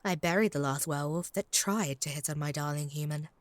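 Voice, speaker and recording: A woman's voice: sits low, brisk, no echo, natural voice. A woman playing a possessive, haughty female vampire in a comedic roleplay audio drama, taunting and doting in a theatrical way. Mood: menacing.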